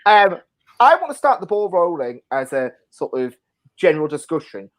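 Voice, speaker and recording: A man's voice: sits high, sing-song, moderate pace. A man hosting a casual panel chat, putting questions to his guests and steering the conversation. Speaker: talk show host.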